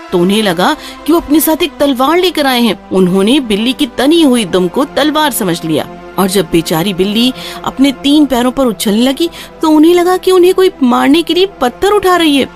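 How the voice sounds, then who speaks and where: Higher-pitched woman narrating children's stories. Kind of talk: scripted children's story narration. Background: soft music.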